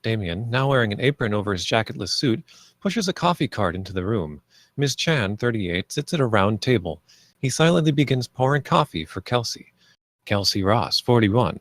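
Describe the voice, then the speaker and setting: Low-voiced man narrating a script. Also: no room sound. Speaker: narrator reading stage directions.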